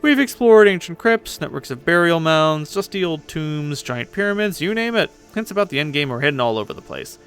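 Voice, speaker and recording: A man plays a cocky pirate ghost girl from an audio drama. The delivery is very animated and sarcastic, with a gravelly creak at the edges. The manner is impatient and boastful. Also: fairly high pitch, brisk, few pauses, faint music behind. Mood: boastful, excited. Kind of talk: dramatic fan reading of a web story.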